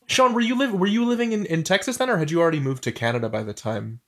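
A man's voice: fairly high pitch, fast talker, fairly bright tone. A man hosting a podcast interview in a conversational style.

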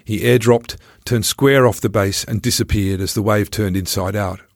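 A man's voice: fairly low pitch, steady tone, moderate pace, no room sound, studio quality. A man reading his own travel memoir aloud as scripted first-person narration.

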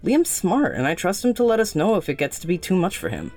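A blunt casual friend in a fiction podcast, low voice, animated, bit of music behind. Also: female voice, quick pace, full character voice. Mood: easygoing. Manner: blunt, slangy, easygoing. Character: frank, casual, protective friend who gently scolds. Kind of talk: naturalistic audio drama dialogue.

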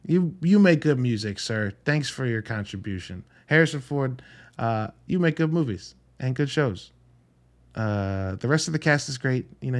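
A man hosting a solo podcast in a casual, enthusiastic and emphatic way, with informal language and wry asides. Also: medium pitch. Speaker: podcast host reviewing films.

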